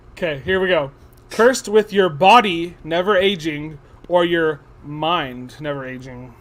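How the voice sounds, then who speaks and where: A man's voice: fairly high pitch, unhurried, a bit bright. A man in his thirties co-hosting a casual chat, talking things through at length with many restarts. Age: 30s. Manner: rambling, thoughtful, many restarts. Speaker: co-host.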